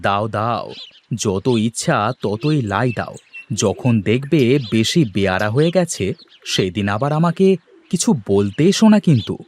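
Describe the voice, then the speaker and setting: A man's voice: lowish voice, expressive, a little slow, darkish tone, a little hiss or hum. A man playing a hardworking village farmer and father in a cartoon moral story, easily exasperated and grumbling at his family. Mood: sarcastic, exasperated.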